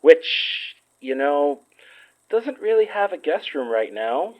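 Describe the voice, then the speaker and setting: A man's voice: fairly high pitch, sing-song, slow pace, highs cut off. An actor playing an affectionate, teasing young man in an audio drama, playful and reassuring. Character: Murphy, teasing, affectionate, open-hearted young friend and ex. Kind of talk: naturalistic audio drama acting.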